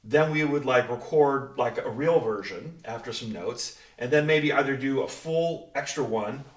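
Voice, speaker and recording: A man's voice: medium pitch, normal speed. A man interviewing in a casual panel chat, with a joking, rambling manner. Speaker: interviewer, show's writer-director. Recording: echoey room, distant mic.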